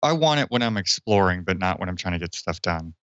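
A man with a very deep yet bright, forceful voice makes direct, thoughtful remarks in casual podcast talk. The recording is dry and dull. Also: expressive, fast talker, clean tone, dry recording. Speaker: podcast co-host. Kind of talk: casual panel chat.

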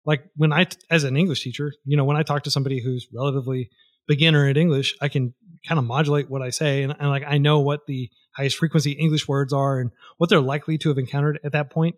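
A man talking casually as a podcast guest, rambling with lots of fillers and restarts. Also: a little high.

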